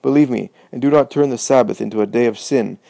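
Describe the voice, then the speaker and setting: A man reading as a calm, persuasive old elder. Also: mid-range voice, vocal fry, dry recording, lightly acted. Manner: measured, persuasive oratory with rhetorical questions.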